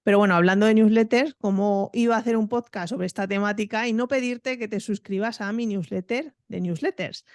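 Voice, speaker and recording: A woman's voice: mid-range voice, a little fast, few pauses, dry recording, studio quality. A Spanish woman hosting a podcast, friendly and upbeat, laughing easily as she introduces and interviews. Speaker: podcast host.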